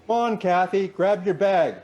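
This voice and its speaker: An actor playing a cautious, pedantic older professor in a naturalistic radio play, formal, dry and reserved in manner. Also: male voice, sits high, normal speed, darkish tone, dull audio. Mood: impatient.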